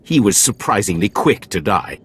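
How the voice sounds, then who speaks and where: An actor playing a callous Sith overseer in a game, husky, wry and deferential yet commanding. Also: male voice, fairly low pitch, sing-song, moderate pace, full character voice. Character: callous, sardonic Sith overseer of slave trials.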